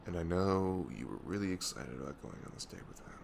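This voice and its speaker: A young man playing a caring, reassuring best friend in an intimate ASMR roleplay, soft-spoken and earnest, with audible noise in the recording. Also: low voice, quick pace. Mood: sympathetic, candid. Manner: soft-spoken, often breathy, hesitant, earnest. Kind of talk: ASMR roleplay acting, intimate casual talk.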